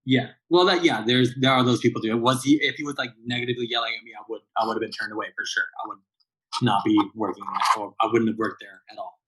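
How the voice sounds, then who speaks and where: A man's voice: medium pitch, steady tone, fast talker, bright, forceful tone, slightly rough, low-quality recording. A man in his late thirties, a barista guest, talking casually in a rambling way with lots of fillers and restarts. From Southern California. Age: 30s. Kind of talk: casual interview chat.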